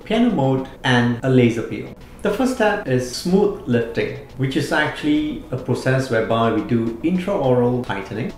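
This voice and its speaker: Surgeon, male, 40s; steady clinical promo read, average pitch, reverberant room. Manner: measured, explanatory, clinical terms. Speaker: plastic surgeon presenting his clinic. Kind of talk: scripted promotional explainer. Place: indoor studio before a plain backdrop. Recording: very echoey room. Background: soft background music.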